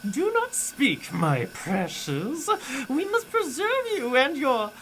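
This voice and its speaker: A woman's voice: high-pitched, wildly swooping pitch, slow, fairly bright tone, slight background noise, heavily acted, poor audio. A woman playing a giddy, scheming male mad scientist in a comic radio play, with theatrical, stilted formal speech and a cloying, fiendish manner. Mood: cloying, menacing.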